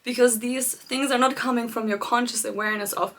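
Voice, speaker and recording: A woman coaching viewers in a live-streamed talk, speaking gently and reflectively, addressing them directly and laughing lightly between her points. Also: fairly high pitch, steady tone, brisk. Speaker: life coach hosting a live challenge.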